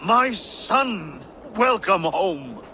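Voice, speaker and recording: A man's voice: high voice, very animated, extremely slow, bright, forceful tone, a little hiss or hum, exaggerated voice. An actor playing a stern, aging Viking king and father in a video game, speaking in a commanding, lecturing way. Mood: welcoming. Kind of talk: video game cutscene acting.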